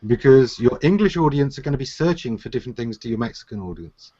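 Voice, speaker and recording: A man hosting a live consulting show, calmly explaining marketing advice over a video call. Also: medium pitch, quick pace. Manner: explanatory, steady. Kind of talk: advisory talk. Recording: slightly dull video call audio.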